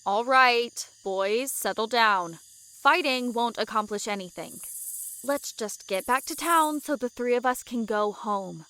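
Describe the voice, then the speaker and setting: A woman playing a brisk, skeptical, no-nonsense adventurer in an audio drama. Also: a little high, bright, forceful tone, poor audio. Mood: practical. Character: no-nonsense female adventurer with werewolf powers.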